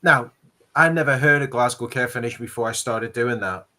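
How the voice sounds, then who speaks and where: A man's voice: medium pitch, a little fast, sharp tone. A man with a Geordie accent hosting a casual interview, talking earnestly in long rambling sentences. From Northeast England. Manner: earnest, rambling, repeats words. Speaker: interview host, charity ambassador.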